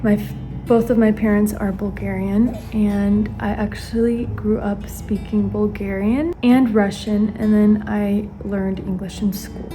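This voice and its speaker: Chatty young woman with a mellow voice. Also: medium pitch, flat delivery, measured pace, faint music behind, low-quality recording. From born in Ukraine, raised in the US. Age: 20s. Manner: chatty, earnest, talks while doing her makeup. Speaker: vlogger, stay-at-home mom. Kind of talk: casual get-ready-with-me chat to camera. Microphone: camera facing her, she watches herself as a mirror.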